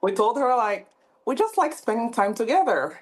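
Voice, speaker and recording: A woman's voice: fairly high pitch, big pitch swings, moderate pace. A woman from Cameroon in her thirties, a podcast guest talking casually with many fillers and restarts. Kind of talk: casual interview talk.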